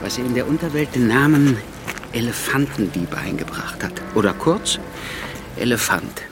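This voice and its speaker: A man's voice: mid-range voice, lively intonation, normal speed, lightly acted. A man playing an efficient, obliging assistant who briefs his employer in a radio drama. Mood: brisk, informative. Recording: music bed underneath.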